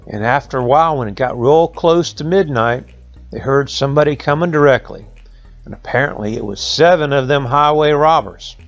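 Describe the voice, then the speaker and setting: A man's voice: mid-range voice, highs cut off. A man telling a folk tale in a folksy oral style, with rustic phrasing and conversational asides. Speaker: storyteller.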